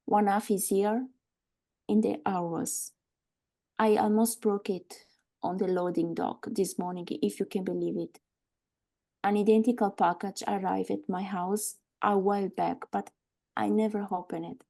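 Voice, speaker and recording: Actress doing earnest warehouse woman at a table read, mellow soft-edged tone, uneasy but composed. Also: mid-range voice, fairly even intonation, unhurried, creaky. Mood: matter-of-fact. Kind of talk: live screenplay table read.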